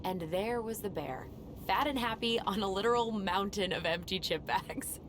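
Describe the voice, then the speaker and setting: A woman's voice: medium pitch, normal speed, lightly acted. A woman acting a friendly, supportive young American woman with a playful, casual manner in naturalistic video game dialogue. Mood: amused. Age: young adult. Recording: game stream with clear background noise.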